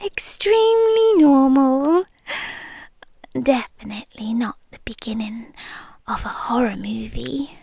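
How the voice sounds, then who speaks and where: A British woman half-whispering a soft, nervously chatty ASMR roleplay into a close microphone. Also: high voice, slow pace, vocal fry, husky.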